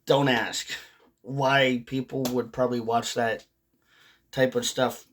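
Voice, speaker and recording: A man with a very slow, drawn-out delivery and a medium-pitched voice talks casually to his viewers. He rambles through his commentary with pauses and the odd curse. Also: little pitch movement, fairly bright tone. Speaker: video host, hobby commentator.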